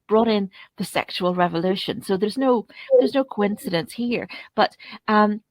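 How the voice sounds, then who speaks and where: Woman, mid-pitched voice, interviewer, unscripted discussion, halting and roundabout delivery, dry acoustic. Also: darkish tone, no echo. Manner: halting, roundabout, long-winded questioning.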